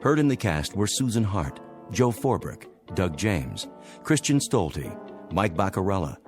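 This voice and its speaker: A male announcer reads scripted credits in a low voice. Faint music plays behind him. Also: very animated, unhurried.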